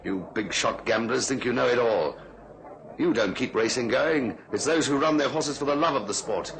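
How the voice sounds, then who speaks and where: A man's voice: medium pitch, normal speed, gravelly, a bit bright, low-quality recording. An actor playing a principled, blunt male racehorse trainer in a radio drama, firm and forthright. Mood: firm indignation.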